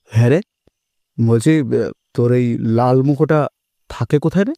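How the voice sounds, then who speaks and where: A man's voice: medium pitch, measured pace, warm tone, dry recording, studio quality, natural voice. A man playing an anxious, lovesick young man in an audio story, hesitant and complaining. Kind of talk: audio story character acting.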